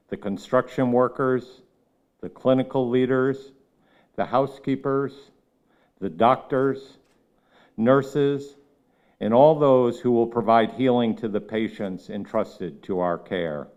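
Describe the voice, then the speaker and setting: A man leading a solemn opening reflection at a ceremony, speaking in a measured, reverent way that falls at the end of each phrase. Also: medium pitch, a little mellow, clear, resonant tone, lo-fi sound. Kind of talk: solemn spoken prayer. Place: ceremony at a medical center, crowd gathered.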